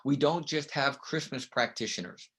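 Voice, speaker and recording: A man speaking as a guest in a conversational podcast interview, emphatic and animated, with a loud voice and frequent "right?" checks. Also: medium pitch, normal speed, husky. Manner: peppers points with "right?".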